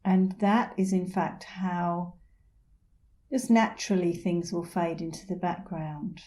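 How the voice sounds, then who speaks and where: A middle-aged woman leading a guided meditation in a soothing, unhurried manner with gentle pauses. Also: fairly low pitch, fairly even intonation. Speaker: meditation instructor.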